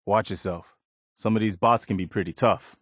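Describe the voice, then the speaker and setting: An actor playing an earnest, weary, principled militia soldier as a video game companion, speaking in a sincere, plain-spoken, conversational way. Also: male voice, on the low side, fairly even intonation, normal speed, dry recording, studio quality, natural voice.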